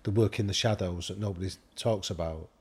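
Husky, lower-voiced British man telling stories. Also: brisk. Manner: animated anecdotes acting out quoted dialogue. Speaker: guest expert on high performance. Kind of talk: conversational storytelling.

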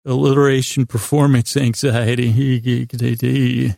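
A man with a creaky voice hosting a bedtime podcast, improvising a halting, meandering, self-deprecating monologue that slips into imagined dialogue. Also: medium pitch, level tone, mellow, no echo, clean studio sound. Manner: acts out imagined back-and-forth dialogue in his own voice. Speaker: podcast host.

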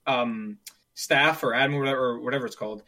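A man's voice: medium pitch, fairly even intonation, quick pace, pushed and bright. A man in his twenties chatting casually about gaming, rambling with lots of fillers and restarts. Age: 20s. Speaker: streamer.

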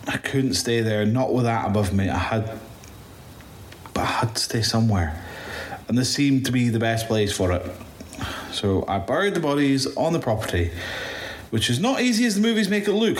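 A man playing a shaken, bitter apocalypse survivor in an audio drama monologue, halting, stammering and swearing as he recounts his ordeal. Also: mid-range voice, close to an everyday voice. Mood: bitter, strained. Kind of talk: first-person audio drama monologue, confessional. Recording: roomy echo, faint background noise.

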